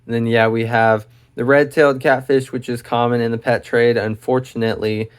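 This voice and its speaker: A young man hosting an educational video, talking casually and enthusiastically about animals, with lots of fillers, his voice getting hoarse. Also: medium pitch, little pitch movement, brisk. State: losing his voice. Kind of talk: casual unscripted explainer.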